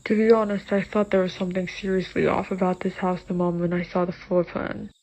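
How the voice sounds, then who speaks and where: Woman as a composed, analytical male expert, even tone. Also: on the low side, fairly quick, low-quality recording. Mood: suspicious. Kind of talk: scripted phone-call dialogue in horror drama.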